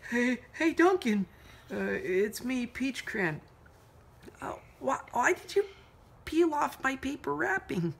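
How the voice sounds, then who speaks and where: A bashful little storybook crayon played by a woman reading aloud, high in pitch and halting. It stammers with embarrassment and pleads timidly. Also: big pitch swings, gravelly, breathy edge, full character voice. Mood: embarrassed.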